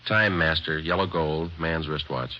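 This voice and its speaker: An actor playing a terse male police detective sergeant, speaking in a clipped, deadpan, matter-of-fact way in an old radio drama. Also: extremely low pitch, unhurried. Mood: stern, clipped. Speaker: detective and narrator. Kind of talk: 1940s-50s radio drama acting. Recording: dull lo-fi old radio recording with faint hiss.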